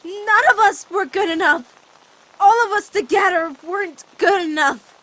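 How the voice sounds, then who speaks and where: A woman voicing an anguished, devoted teenage girl in an emotional cartoon fan dub, with dramatic, halting, pleading delivery. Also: very high voice, fairly bright tone, poor audio. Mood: earnest, insistent. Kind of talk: emotional animated-series fan comic dub.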